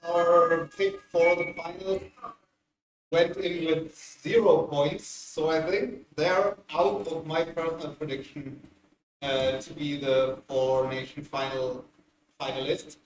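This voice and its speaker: A man's voice: fairly high pitch, little pitch movement. Man on a distant mic. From Bavaria, Germany. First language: German. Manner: measured, analytical, easygoing. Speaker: co-commentator. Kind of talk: live game commentary. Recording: roomy, distant mic with hiss.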